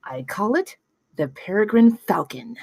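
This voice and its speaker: A woman reading the part of a brainy, cocky young girl inventor, confident and deadpan, at a screenplay table read. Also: mid-range voice, measured pace. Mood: eager, proud.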